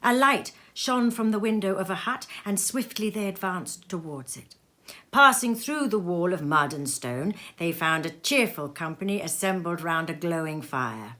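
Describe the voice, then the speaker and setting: A woman reading literary fiction aloud as a storyteller, with an expressive, theatrical delivery that moves between hushed and emphatic. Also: mid-range voice. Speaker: storybook reader. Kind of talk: dramatic literary narration, scripted. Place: sitting room at home.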